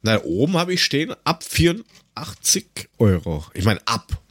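A man with a husky voice chats casually as a podcast co-host. His intonation swings widely, full of sarcasm and crude slang. The sound is dry, with no room around him. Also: medium pitch, very animated, measured pace, a bit bright, dry recording. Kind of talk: casual chat, humorous banter.

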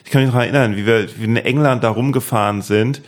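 A man from Germany chats casually as a podcast host. His voice sits at an average male pitch. He is hesitant, doubling back on phrases as he looks for words. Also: fast. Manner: hesitant, repeats himself while searching for words. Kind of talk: casual chat.

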